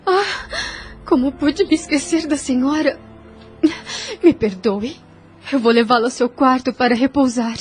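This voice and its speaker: An actress plays a selfless, softly melancholy woman of thirty in an audio drama. She has a Brazilian accent, a rather high voice and earnest, formal diction, with faint music behind. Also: unhurried, pauses often. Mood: remorseful.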